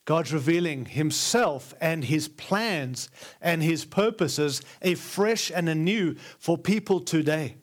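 High-voiced man preaching with an Australian accent. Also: takes it slowly. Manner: earnest, emphatic, repeats phrases for build. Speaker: guest preacher. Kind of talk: sermon. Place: large church before a live congregation.

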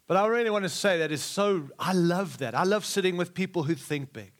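Man, middle-aged, preacher, fairly high voice, rousing, repeats phrases. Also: lively intonation, a little fast. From Australia. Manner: exhortative, repeats phrases, jokes with congregation. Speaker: guest preacher. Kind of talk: sermon to a live church.

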